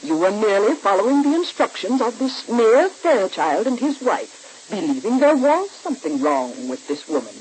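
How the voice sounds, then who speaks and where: An actress playing a cold, bossy woman villain who gives deliberate, emphatic orders in the style of 1940s radio soap acting. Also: medium pitch, big pitch swings, darkish tone, close to an everyday voice, rough recording. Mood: scheming, commanding. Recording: hissy old radio recording.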